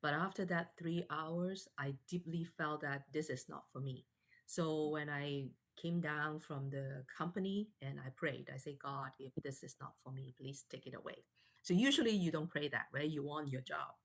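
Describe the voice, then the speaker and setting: A woman in her forties from Canada, a guest in a live online interview, telling her story softly with many fillers and restarts. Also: deep voice, moderate pace.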